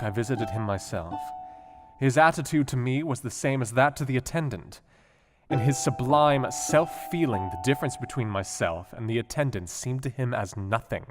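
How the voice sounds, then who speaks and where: Man as low-voiced brooding Victorian doctor. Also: natural voice. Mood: clinical, then wry, intense. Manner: measured, reflective, formal. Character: brooding Victorian asylum doctor, analytical and melancholy. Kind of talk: radio play acting, dictating a diary.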